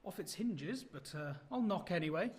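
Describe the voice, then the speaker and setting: An actor as an earnest, animated young gentleman student. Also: sits high, moderate pace, a little hiss or hum. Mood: curious, excited. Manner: proper, old-fashioned politeness. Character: polite, earnest young male architecture student, romantic and proper. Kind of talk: radio play acting.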